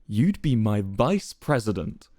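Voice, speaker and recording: A man's voice: lowish voice, no room sound, full character voice. Man playing a smug, controlled president, dry sound. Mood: amused, condescending. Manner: calm, deliberate, condescending. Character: smug, controlled male president, coolly cutting.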